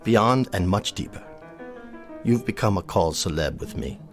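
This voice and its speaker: Deep-voiced, haughty old colonel. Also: male voice, expressive, unhurried, faint music behind. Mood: cold contempt. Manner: formal, precise, disdainful delivery. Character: haughty, contemptuous wealthy old club colonel. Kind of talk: radio drama acting.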